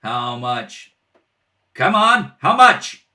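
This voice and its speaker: Man acting a sneering, forceful small-time hoodlum. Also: mid-range voice, big pitch swings, drawn-out words, pitched above the performer's own voice, heavily acted. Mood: bullying. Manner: sneering, pushy interrogation. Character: menacing small-time hoodlum, jealous and bullying. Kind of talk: old-time radio crime drama acting. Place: indoors at a kitchen table.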